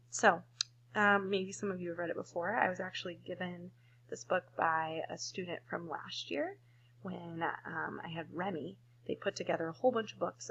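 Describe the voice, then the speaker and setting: A woman reading a picture book aloud to children in an expressive, sing-song way. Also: fairly low pitch, vocal fry, husky, lo-fi sound. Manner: sing-song, animated storytelling.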